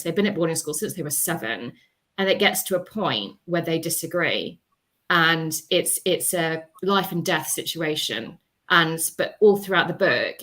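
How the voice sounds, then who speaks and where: Reflective woman on a panel, lowish voice. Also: fairly bright tone. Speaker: author on a discussion panel. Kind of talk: conversational panel talk.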